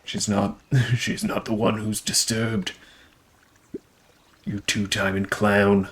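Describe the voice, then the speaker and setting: A man voicing a bitter, tormented townsman in a game, speaking gravely. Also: on the low side, flat delivery, takes it slowly, a bit bright, a little hiss or hum.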